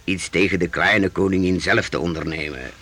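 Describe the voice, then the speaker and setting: A man's voice: sits low, steady tone, normal speed, fairly bright tone, breathy edge. A man acting a kindly older mentor in a radio drama, avuncular, with old-fashioned theatrical phrasing. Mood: explanatory. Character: kindly older Swedish mentor to two young friends.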